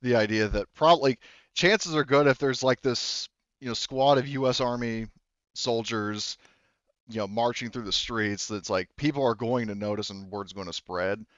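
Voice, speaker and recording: A man's voice: medium pitch, normal speed, fairly bright tone, dry recording, highs cut off. A man casually narrating and explaining as game master of a tabletop roleplaying game, wandering into asides.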